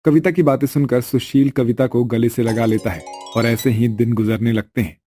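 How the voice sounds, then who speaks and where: A man's voice: medium pitch, steady tone, moderate pace, dark tone, faint music behind. A man reading scripted narration of a family moral story as a storyteller.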